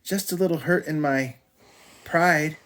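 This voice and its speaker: A man's voice: fairly high pitch, measured pace, fairly bright tone, voice pushed up. A man voicing a grumpy, cheeky young girl bear cub with whiny, childlike protests in a storybook. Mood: sheepish.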